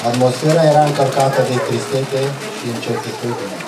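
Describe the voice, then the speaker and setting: A man playing a solemn Roman soldier in a puppet show, reciting gravely and a little stiffly. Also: mid-range voice, natural voice. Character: solemn Roman soldier who witnessed the crucifixion. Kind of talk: puppet show interview acting, scripted. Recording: echoey distant recording over noisy crowd.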